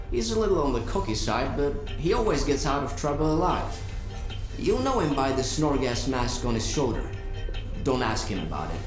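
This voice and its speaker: An actor playing a world-weary stalker in a video game, rambling wryly in slangy, philosophical asides. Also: male voice, mid-range voice, reverberant, lightly acted. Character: wry, world-weary stalker giving directions. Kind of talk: video game cutscene acting.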